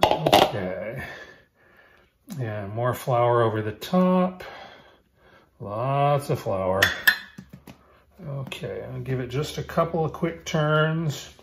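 Man in his fifties, relaxed cooking host, home kitchen, mid-pitched voice, camera a bit away. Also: takes it slowly, vocal fry, long pauses, very noisy. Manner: relaxed, explains each step as he works. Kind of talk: casual cooking demonstration.

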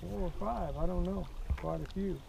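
A man with a high, gravelly voice chats easily from the far end of a boat, caught faintly by another angler's action camera. Boat and water noise come through clearly. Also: moderate pace, mellow. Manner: easygoing, offers fishing tips. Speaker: fishing partner. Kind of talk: casual chat. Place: bass boat on an open lake outdoors.

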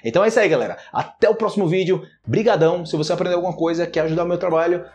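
A man in his thirties with a Brazilian Portuguese accent, teaching Portuguese vocabulary in a casual, animated video lesson and acting out example phrases. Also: high-pitched, racing, soft background music. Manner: animated, gestures a lot, acts out example phrases. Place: small indoor room, plain wall.

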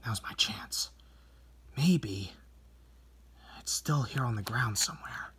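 A brooding teenage boy in a horror comic, played by a man. He muses in a low, breathy hush, slow and hesitant, anxious about a curse. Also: lively intonation, in a whisper. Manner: musing, hesitant.

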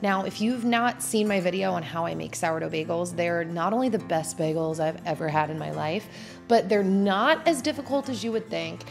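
A woman's voice: on the low side, moderate pace, vocal fry, faint music behind. A woman in her thirties, a vlogger chatting casually at home as she narrates her cooking. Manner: chatty, laid-back, with casual fillers. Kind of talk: cooking vlog voice-over. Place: home, indoors.

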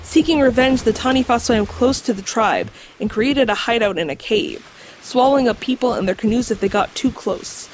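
Woman playing an earnest, high-voiced creature expert. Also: a little hiss or hum, close to an everyday voice. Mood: solemn storytelling. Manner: explanatory, measured, slightly formal. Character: earnest, scholarly female guide to mythical creatures. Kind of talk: audio drama acting, storytelling. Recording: music under the voice.

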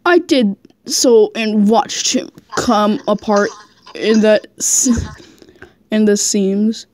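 A woman's voice: medium pitch, takes it slowly, darkish tone, no room sound. A woman reading fan fiction aloud casually as an amateur, giggly and stumbling over the text. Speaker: fan fiction reader, channel host.

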